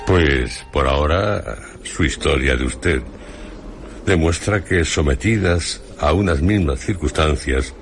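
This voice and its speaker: An actor playing a measured male presenter in a scripted radio drama, speaking deliberately in short phrases with a Spain accent. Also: extremely low pitch, heavily acted. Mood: measured, intrigued. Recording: music under the voice.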